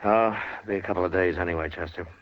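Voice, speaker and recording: A deep, laconic frontier lawman played by a male actor in a vintage radio western. He speaks with dry, unhurried authority. The recording is dull and noisy, like an old broadcast. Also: steady tone, natural voice. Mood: calm, commanding. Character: steady, wry frontier US marshal, grown man. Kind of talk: 1950s radio drama acting.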